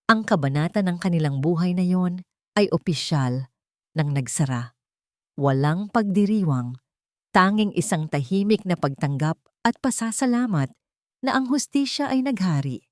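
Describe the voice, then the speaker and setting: Low-voiced woman narrating a sentimental drama. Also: darkish tone, dry recording, studio quality. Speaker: storyteller, narrator.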